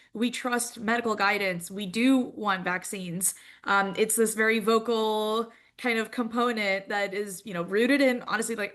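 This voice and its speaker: A woman's voice: mid-range voice, a bit bright. A woman from India speaking as a panelist in a webinar, conversational, with frequent "like". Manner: conversational, uses "like" often.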